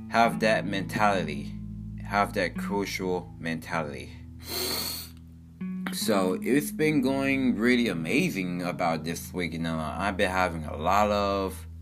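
Young guy w a really deep low voice, rambly and earnest, lots of likes and ums, guitar music going in the background. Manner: rambling, many fillers, upbeat and earnest. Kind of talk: casual self-help monologue. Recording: guitar music playing underneath.

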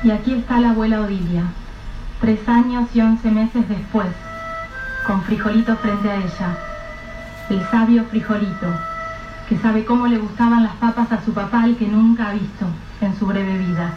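A woman narrating a radio drama in a measured, grave storytelling manner. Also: medium pitch. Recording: roomy, dull, distant mic with soft music.

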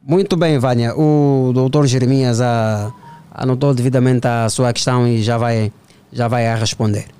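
A man's voice: medium pitch, fairly even intonation, normal speed, dark tone, clean tone, faint music behind. A man with an Angolan accent hosting a radio phone-in from a studio in a conversational style. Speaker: radio host.

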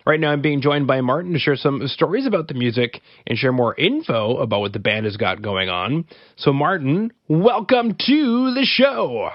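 A man's voice: medium pitch, expressive, moderate pace, no echo, lo-fi sound. A man hosting a podcast, upbeat and jokey, stretching out his intros in a casual chat. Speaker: podcast host.

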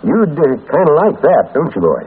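A man playing a kindly, grave small-town doctor, measured and folksy, in a 1940s radio drama. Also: fairly high pitch, husky, heavily acted. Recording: tinny, noisy old radio recording.

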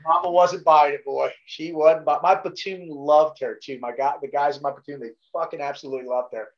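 A man's voice: a little high, fairly even intonation, fairly quick, lo-fi sound. A middle-aged man with a Baltimore accent, a podcast guest telling laid-back, profane stories in casual chat. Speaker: podcast guest, former Navy SEAL.

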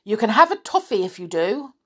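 A woman reading a story aloud, voicing a fierce, bossy old woman who scolds her children in a shrill, high voice. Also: big pitch swings, brisk, slightly rough. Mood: scolding.

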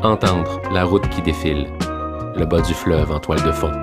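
A man's voice: sits low, fairly even intonation, moderate pace. A man reading a scripted podcast introduction as announcer. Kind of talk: scripted narration. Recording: loud guitar music behind. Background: guitar music.

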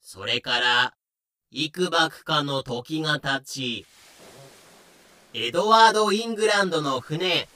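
A man's voice: a little high, animated, measured pace, pauses often, bright, forceful tone, heavily acted. A man acting as the wry storyteller of a pirate history audio drama, narrating with comic irony.

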